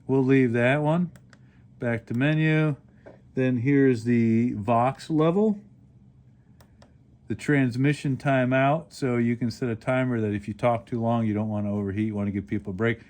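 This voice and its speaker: A man hosting a casual product demonstration, explaining things step by step in a plain-spoken, halting way. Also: mid-range voice, steady tone, darkish tone. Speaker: radio reviewer and host.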